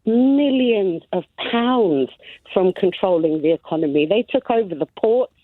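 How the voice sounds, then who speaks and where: A woman's voice: medium pitch, expressive, unhurried, dry recording. A woman in her thirties, born in Somalia, calling in to a radio phone-in and telling her story earnestly. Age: 30s. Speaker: caller. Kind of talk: phone-in call. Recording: tinny phone line.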